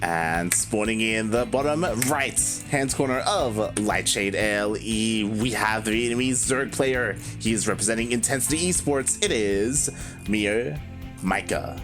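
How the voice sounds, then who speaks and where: A man doing relaxed, measured play-by-play as co-caster of a live strategy match. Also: fairly low pitch, music in the background. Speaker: esports co-caster.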